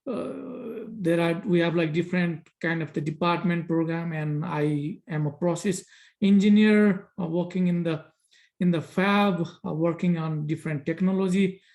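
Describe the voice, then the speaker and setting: Man, from Nepal, thirties, fairly high voice, halting, frequent fillers, video call. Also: steady tone, darkish tone. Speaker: process engineer on a career panel. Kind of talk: casual spoken introduction over video call.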